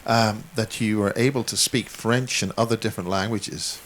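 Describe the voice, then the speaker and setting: Man, deep voice, halting questions, faint noise behind. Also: fairly even intonation, fairly bright tone. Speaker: interviewer. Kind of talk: interview questions.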